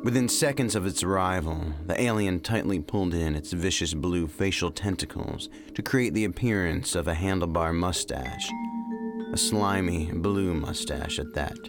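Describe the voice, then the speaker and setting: A man's voice: sits low, normal speed. A man reading scripted short fiction as a story narrator. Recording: music bed under narration.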